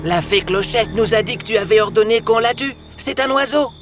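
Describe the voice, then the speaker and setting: A woman's voice: a little high, brisk, over music, close to an everyday voice. A woman voicing an obedient, earnest young boy in a children's storybook, boyish and emphatic. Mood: puzzled, defensive. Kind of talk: children's animated storybook acting.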